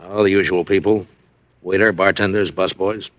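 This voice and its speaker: An actor playing a surly, defensive club owner under suspicion in an old radio crime drama. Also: male voice, sits low, steady tone, moderate pace, a bit bright, no room sound, dull audio. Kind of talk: 1950s radio detective drama acting.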